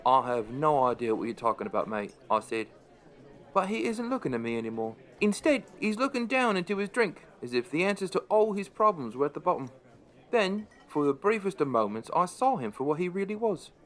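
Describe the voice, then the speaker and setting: A man acts as a middle-aged pub landlord narrating his own tale in a radio play. His fairly high male voice is dry and understated, with the wry air of an unimpressed storyteller. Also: sing-song, fairly quick, fairly bright tone. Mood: reflective, wry.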